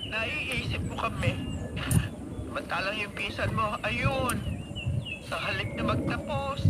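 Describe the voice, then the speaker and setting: A woman's voice: on the high side, slow. An actress playing an emotional middle-aged mother and aunt, scolding yet grateful, in a Filipino radio drama. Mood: grateful, fretful. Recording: very noisy radio drama broadcast.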